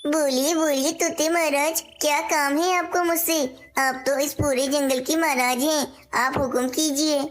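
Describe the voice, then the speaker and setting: Woman as a very high-voiced little cartoon bird. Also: steady tone, a little slow, hardly any pauses. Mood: obliging. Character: obliging, respectful small female bird. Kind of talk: Hindi cartoon voice acting.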